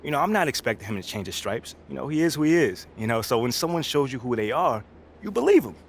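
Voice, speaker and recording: A man's voice: medium pitch, very animated, fast talker. An actor playing an earnest young male basketball rookie in a video game, talking in an informal, self-assured, slangy way. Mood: calm, disappointed. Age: young adult. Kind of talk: video game cutscene acting, casual interview talk. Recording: clear background noise.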